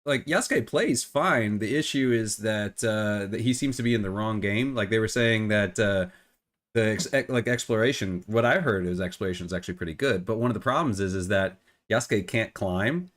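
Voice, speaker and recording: A man's voice: lowish voice, normal speed, fairly bright tone. A middle-aged man with a cold and a phlegmy voice, co-hosting a casual gaming podcast and often repeating words as he restarts. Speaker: podcast co-host.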